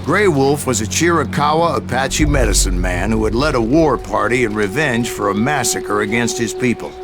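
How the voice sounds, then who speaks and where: An actor playing an older, weathered bounty hunter in a Western game, telling his tales in a folksy, wry, measured way. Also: mid-range voice, lively intonation, close to an everyday voice. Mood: grave, reflective. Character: older weathered male bounty hunter recounting his Old West exploits. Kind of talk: storytelling narration in a Western game. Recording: music behind the voice.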